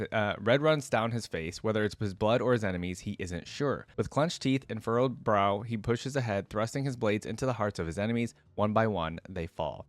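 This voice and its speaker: Low-voiced man reading a story aloud. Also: dry recording. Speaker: reaction video creator. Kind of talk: story read-aloud with casual asides.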